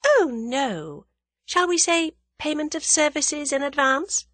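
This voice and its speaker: A woman narrator plays a quick-witted young adventuress in an audiobook. She speaks high and sweetly, with polite, teasing composure. Her pitch leaps about in a lively way, and the recording is dry. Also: dry recording, full character voice. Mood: sweetly sly.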